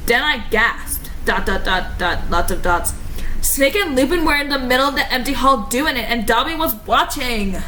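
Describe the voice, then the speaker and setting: A woman's voice: on the high side, expressive, moderate pace, sharp tone, rough recording. A woman reading amateur fan fiction aloud in a mock-dramatic, comedic way. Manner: theatrical, with put-on character outbursts. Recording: amateur recording with background noise.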